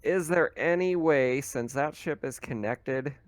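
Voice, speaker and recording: A man's voice: medium pitch, a little slow. A man chatting casually as a player at a tabletop role-playing game, sometimes speaking for his character.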